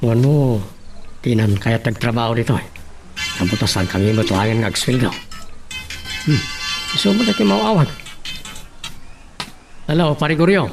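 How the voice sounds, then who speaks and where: Creaky-voiced hesitant poor husband played by an actor. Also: male voice, medium pitch, lively intonation, moderate pace, long pauses, over music. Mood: hopeful. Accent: Ilocano. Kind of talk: Ilocano audio drama acting.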